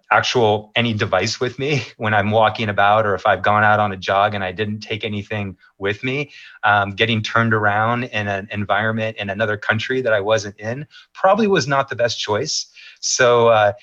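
A middle-aged man answering interview questions as a maps executive, in measured, polished talking points with occasional fillers. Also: lowish voice, bright, forceful tone, highs cut off.

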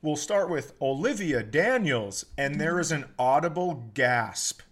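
A man's voice: fairly high pitch, expressive, a little slow, fairly bright tone. A middle-aged American man telling stories casually on a comedy podcast, exasperated and freely swearing. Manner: exasperated, profane ranting. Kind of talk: casual comedic storytelling chat.

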